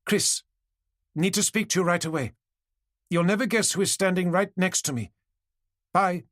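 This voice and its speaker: A man voicing a glamorous middle-aged woman who turns hysterical and scornful, raising his voice to breathless, shrill cries. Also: moderate pace, fairly bright tone, dry recording, clean studio sound. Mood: brisk.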